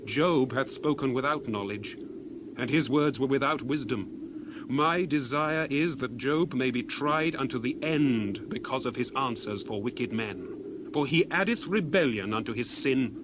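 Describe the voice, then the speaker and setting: A man's voice: medium pitch, a little slow, background noise, close to an everyday voice. A man playing an earnest, indignant biblical orator, reciting King James scripture in a solemn, declamatory, emphatic manner for a dramatized reading. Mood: admonishing.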